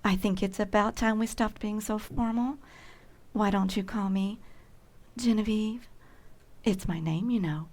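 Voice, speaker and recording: A woman's voice: mid-range voice, unhurried, a little mellow, close to an everyday voice. Lovestruck spinster sales clerk played by a woman, coy, gushing and flirtatious, vintage-style radio sitcom. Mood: coy, flirting. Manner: gushing, flirtatious, sometimes breathy. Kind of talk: old-time radio sitcom acting.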